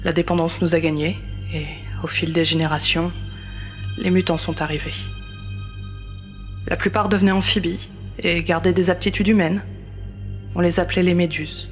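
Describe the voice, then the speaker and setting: High-pitched solemn male narrator over music. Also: level tone, normal speed, pauses often. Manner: solemn, clipped phrases. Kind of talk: scripted narration of sci-fi audio drama.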